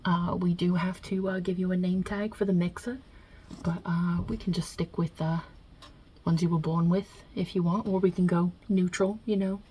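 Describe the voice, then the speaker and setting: A low, husky voice from a woman acting the part of a non-binary God who runs heaven like a mob boss. The accent is a put-on Italian-American New York and Jersey one. The delivery is wry, blunt and offhand, with hesitant pauses, in a hushed ASMR roleplay. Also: level tone. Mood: businesslike, kindly.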